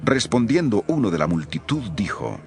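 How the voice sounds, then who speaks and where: Low, expressive male scripture narrator. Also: normal speed, faint music behind.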